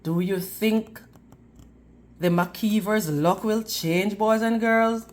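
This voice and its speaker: A woman reading a storybook aloud in an expressive, teacherly way, putting questions to young listeners. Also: mid-range voice. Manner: deliberate, teacherly, addressing children. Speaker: children's read-aloud storyteller.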